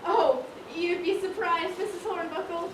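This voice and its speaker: A woman's voice: very high-pitched, fairly even intonation, measured pace. A woman playing a nosy, arch society gossip with sugary politeness in an amateur stage comedy. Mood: coy amusement. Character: nosy, gleefully coy society lady gossip. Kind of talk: amateur one-act stage comedy acting. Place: stage in a large echoey room. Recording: echoey stage, noisy, far-off mic.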